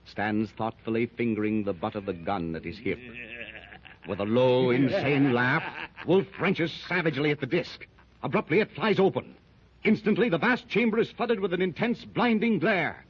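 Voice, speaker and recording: A man's voice: mid-range voice, a little hiss or hum. A man narrating a 1930s radio adventure serial in a vivid, suspenseful style. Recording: dull lo-fi 1930s radio transcription.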